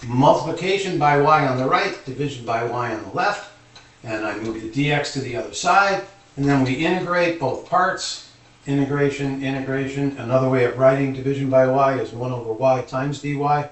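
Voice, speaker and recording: A man's voice: mid-range voice, moderate pace. A man teaching calculus informally at a board, thinking aloud as he explains each step. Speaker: math teacher. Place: indoor room, at a board. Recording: echoey room, distant microphone.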